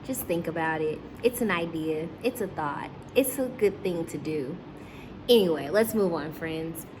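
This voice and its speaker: A woman's voice: sits low, lively intonation, unhurried, noisy background. A woman teacher reading a story aloud to young children, breaking off to question and explain things to her listeners.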